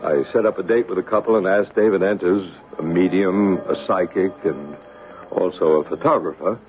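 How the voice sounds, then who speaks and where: An actor playing a calm male psychic investigator in a 1970s radio drama, asking questions in a reassuring way. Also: deep voice, moderate pace, soft background music, close to an everyday voice. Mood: narrating. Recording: tinny hissy 1970s radio broadcast.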